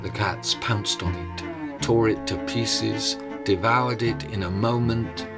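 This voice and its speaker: A man telling stories in a dramatic, theatrical style with deliberate phrasing. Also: lowish voice. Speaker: storyteller. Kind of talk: dramatic oral storytelling. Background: prominent music.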